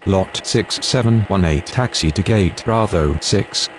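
A man with a lowish voice reads scripted air traffic radio calls. A light engine-like noise sits behind him. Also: a little slow. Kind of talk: scripted aviation radio phraseology.

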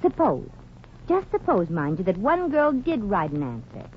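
A woman's voice: mid-range voice, very animated, moderate pace, lightly acted. A woman playing a brassy, scheming young American showgirl with a wry wisecracking manner in a 1940s radio comedy. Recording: dull, noisy 1940s radio recording.

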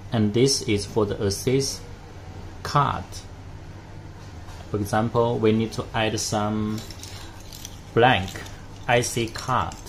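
A man narrating a hands-on product tutorial in a halting, step-by-step way. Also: on the low side. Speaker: product demonstrator. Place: indoors at a desk with devices. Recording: noticeable background noise.

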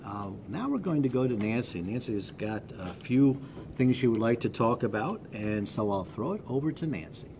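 A man moderating a town hall, reading questions and thanking speakers in a halting way. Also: medium pitch, expressive. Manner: hesitant, halting closing remarks. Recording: noisy recording.

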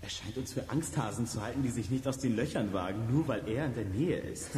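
A man's voice: medium pitch, brisk, a little mellow, a little hiss or hum, close to an everyday voice. An actor playing a cheeky, joking boy detective in a children's radio play. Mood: wary.

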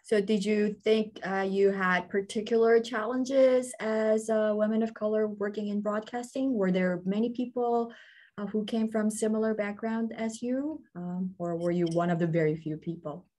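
A woman's voice: medium pitch, fairly even intonation, moderate pace. Young woman interviewer on a video call. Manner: polite, with soft backchannel affirmations. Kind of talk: interview questions.

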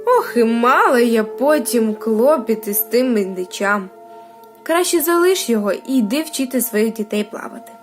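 A woman's voice: fairly high pitch, lively intonation, moderate pace, close to an everyday voice. A nosy old female goose from a storybook, acted out by a woman talks in a raised, gossipy voice. She sounds opinionated, over a music bed. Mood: suspicious, advising. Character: nosy, suspicious old mother goose neighbour. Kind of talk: children's storybook character voice.

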